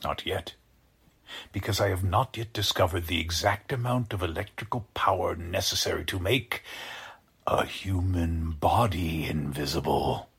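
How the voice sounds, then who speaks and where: A megalomaniac mad scientist from an old radio play. His voice is low and very husky, and he draws his words out slowly with cold, formal menace. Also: a bit bright, exaggerated voice. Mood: grandiose. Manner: slow, deliberate, menacingly formal. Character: deranged, coldly polite male mad scientist, megalomaniac. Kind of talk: 1940s radio drama acting.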